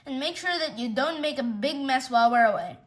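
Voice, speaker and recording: A woman's voice: high voice, expressive, a little fast. A woman voicing a chipper, sweetly reassuring pet owner who coos in a sing-song way, in a storybook reading. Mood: cheerful, coaxing.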